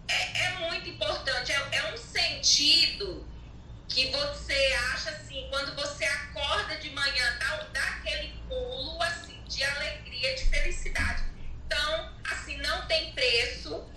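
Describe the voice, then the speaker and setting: Woman from alagoas, talks slow with lots of pauses, high bright voice, echoey and far from the mic. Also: slight background noise. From Alagoas, Brazil. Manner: slow, halting, many pauses and fillers. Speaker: network marketing participant, guest. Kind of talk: hesitant conversational testimony. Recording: echoey distant mic with faint ambience.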